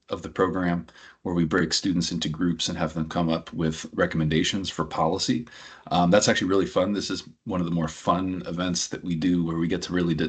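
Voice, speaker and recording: A middle-aged man from New Hampshire with a very low, husky voice walks listeners through a study program. He talks conversationally, leaning on frequent ums and uhs as he explains. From New Hampshire, USA. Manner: filler-heavy, conversational explaining. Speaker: program director and anthropologist. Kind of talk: information session presentation.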